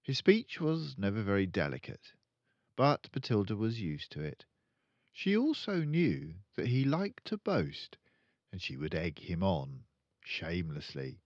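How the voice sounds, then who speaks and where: An older man reading a children's book aloud as a storyteller, expressive and deliberate. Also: on the low side, dry recording, highs cut off.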